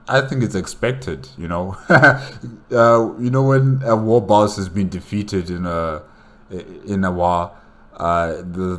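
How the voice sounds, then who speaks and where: Deep-voiced man reacting casually. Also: fairly bright tone. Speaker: reaction video host.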